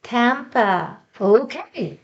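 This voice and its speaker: A high-pitched Japanese woman hosts the chat, asking questions in slow, halting English and summing up in brisk Japanese. The recording is a little dull and lo-fi. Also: expressive. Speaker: host, English-learning show. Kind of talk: bilingual casual interview.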